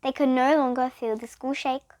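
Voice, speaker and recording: A female reader giving an expressive, dramatic read-aloud of a children's storybook. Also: high-pitched, normal speed, dry recording. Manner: animated, dramatic reading. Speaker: reader of children's bedtime books.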